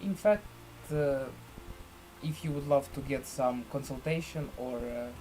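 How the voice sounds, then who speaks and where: A man presenting a screen-share software tutorial in halting, deliberate phrases with formal, elaborate wording. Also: mid-range voice, a little hiss or hum, low-quality recording.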